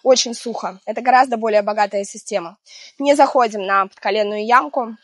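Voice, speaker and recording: A woman in her thirties, hoarse from a cold, guiding an exercise routine outdoors by the sea in a chatty, gentle way with small laughs. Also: a little high, fairly quick, dry recording. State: hoarse from illness. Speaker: fitness instructor. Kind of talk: guided exercise instruction. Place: outdoors on a seaside promenade.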